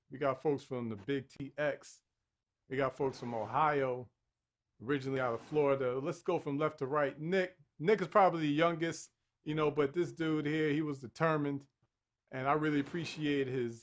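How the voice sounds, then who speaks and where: A man hosting a live dating game show, energetic and laughing as he hypes up the contestants. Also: on the high side, slight background noise.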